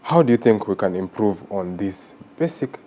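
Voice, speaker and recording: A man hosting a radio talk show, listening with steady murmurs and asking long, measured questions. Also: on the low side, a little hiss or hum. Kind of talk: radio interview conversation.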